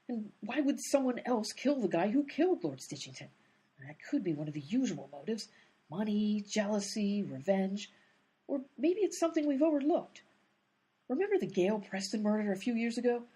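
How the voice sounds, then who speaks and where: A woman's voice: a little high, dark tone, breathy edge. A woman playing an exasperated, determined but inept detective in a radio comedy, with an emphatic, easily sidetracked manner. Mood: earnest, scheming.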